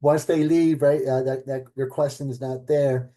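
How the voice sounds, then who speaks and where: A middle-aged man speaking casually at an event as a program director, with lots of fillers and tag questions, and he gets animated when he quotes people. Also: mid-range voice, normal speed. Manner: conversational, many fillers and rhetorical "right?"; animated when quoting others. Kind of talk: casual talk at an event panel.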